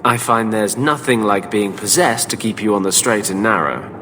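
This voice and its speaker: Casting: man for wry fantasy game mage, slightly deep, deadpan delivery. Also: normal speed, a little hiss or hum, close to an everyday voice. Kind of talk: video game voice acting.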